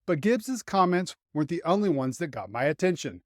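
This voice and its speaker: A man's voice: on the high side, very animated, brisk, no room sound, studio quality. A man hosting a motorsport show, delivering scripted commentary on racing news. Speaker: host and motorsport writer.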